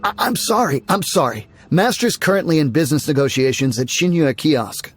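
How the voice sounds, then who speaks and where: A man's voice: fairly high pitch, animated, normal speed, slightly rough. A man voicing an easily cowed, formal household guard in a video game, with music behind him. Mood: panicked, pleading. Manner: stammering, apologetic, formal. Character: nervous servile male guard, easily cowed.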